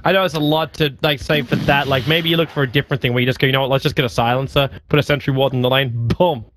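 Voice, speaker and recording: A man's voice: mid-range voice, steady tone, rapid-fire, background noise. A man casting an esports draft in a studio, joking and teasing his co-caster. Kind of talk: casual draft commentary.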